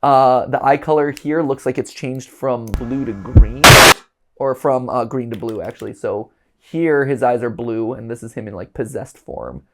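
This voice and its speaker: A man hosting a casual reaction chat, animated and jokey, now and then breaking into silly voices. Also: medium pitch, normal speed, very noisy. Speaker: host.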